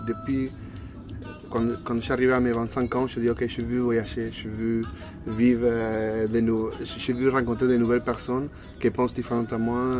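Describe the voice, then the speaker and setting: An Argentine man in his thirties, a native Spanish speaker, talking casually in an interview and searching for words with a Spanish accent. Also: mid-range voice. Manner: hesitant, searching for words in a second language. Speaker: interview guest.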